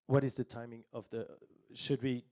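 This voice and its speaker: A man chairing a planning meeting, steering the discussion with a gentle, teasing humor. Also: mid-range voice, flat delivery, normal speed.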